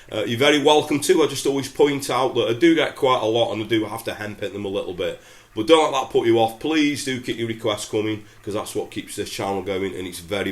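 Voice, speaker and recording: A middle-aged English man with a head cold and nasal voice, chatting casually through a guitar lesson with lots of fillers. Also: fairly low pitch. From England. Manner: chatty, relaxed, many fillers. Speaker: guitar teacher. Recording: roomy sound with loud acoustic guitar.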